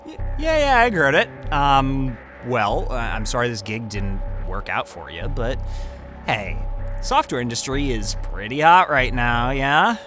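An actor playing a cocky, talkative young job candidate who stammers and rambles in a naturalistic audio drama. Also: male voice, medium pitch, very animated, measured pace, bright, forceful tone, faint music behind. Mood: nervous, awkward. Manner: stammering, rambling, self-interrupting.

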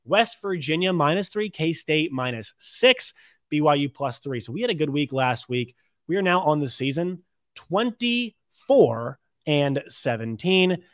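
A man's voice: a little high, expressive, a little slow, a bit bright, dry recording, studio quality. A man hosting a sports podcast, giving unscripted commentary in a conversational way, thinking aloud and correcting himself as he goes.

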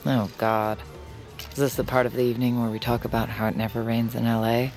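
A wary young woman, very low-voiced with a noticeable fry. She is dry, guarded and lovesick, speaking intimately as in an audio drama, over soft music. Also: flat delivery, fairly quick. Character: wary, lovesick young woman with secret mind-reading power. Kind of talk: intimate audio drama acting with inner narration.

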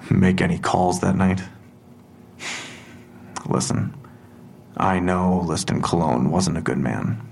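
A man playing a stern police detective in an audio drama, questioning a suspect in a measured, probing way with a gravelly voice. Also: sits low, darkish tone, slight background noise, exaggerated voice. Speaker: police interrogator. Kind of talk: full-cast audio drama acting.

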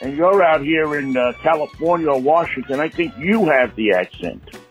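A man's voice: on the high side, lively intonation, normal speed, highs cut off, faint music behind. A man with a New York accent leaving a confident, teasing voice message for the show. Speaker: listener voice message.

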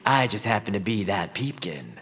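Vain, excitable cartoon guy, swooping husky voice. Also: lowish voice, moderate pace, exaggerated voice. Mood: smug. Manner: theatrical, self-important. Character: Noah, excitable, vain male puppet-like creature full of himself. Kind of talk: family audio fiction acting.

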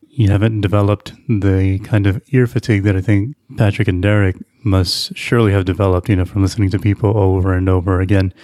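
A man's voice: on the low side, warm tone, no echo, studio quality. A man hosting a podcast interview in a conversational style.

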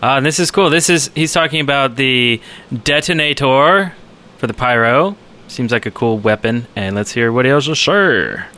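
A man's voice: mid-range voice, normal speed, bright, forceful tone. A man co-hosting a casual gaming podcast, talking in an easygoing, agreeable way. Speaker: podcast co-host.

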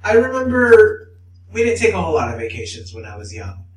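A man's voice: a little high, wildly swooping pitch, brisk, slight background noise. A man co-hosting a podcast in casual chat, blunt and rambling, swearing freely. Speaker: podcast co-host. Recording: echoey room, mic at a distance.

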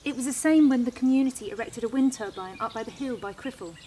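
Actress as indignant worried woman, higher voice, emphatic, bitter, naturalistic audio play, clear birdsong behind. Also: fast talker, warm tone, lightly acted. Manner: emphatic, exasperated, repeating herself. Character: anxious, indignant, bitter sister. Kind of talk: naturalistic audio drama acting. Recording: clear outdoor bird noise. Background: birdsong, outdoor ambience.

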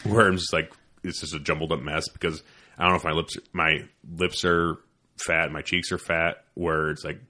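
A man with a very low, deep voice that comes out mumbled, though his tone stays bright and forward. He chats casually as a host and swears freely as he rambles. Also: steady tone, moderate pace. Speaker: podcast host. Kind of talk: casual chat.

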